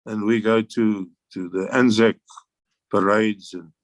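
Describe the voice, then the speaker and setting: A man's voice: on the low side, fairly even intonation, slow pace, no room sound. An older South African man, a veteran guest, reminiscing in a rambling way with frequent restarts and chuckles. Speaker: interview guest, air force veteran. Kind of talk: relaxed reminiscing.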